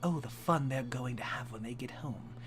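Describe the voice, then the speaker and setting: A man's voice: medium pitch, normal speed. A young man reading a fan story aloud for a live stream, hamming it up with swearing and laughing asides. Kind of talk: comic dramatic reading with asides. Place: home streaming setup.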